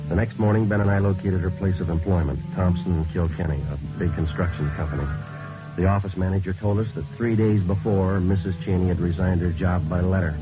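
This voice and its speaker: An actor playing a terse police sergeant who narrates his case in a deadpan, clipped way for a radio crime drama. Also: sits low, brisk, natural voice. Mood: matter-of-fact. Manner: deadpan, matter-of-fact, clipped. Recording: muffled old radio recording with music.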